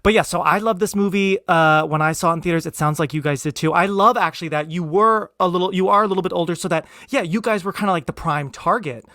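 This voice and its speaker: A man's voice: sits high, quick pace, hardly any pauses, pushed and bright, no room sound, studio quality. A man in his thirties from New Jersey with a slight Jersey accent, chatting enthusiastically as a podcast host and saying "like" often. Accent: American, slight New Jersey. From Southern New Jersey, United States. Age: 30s. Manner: chatty, enthusiastic, peppers talk with "like". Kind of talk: casual movie podcast chat.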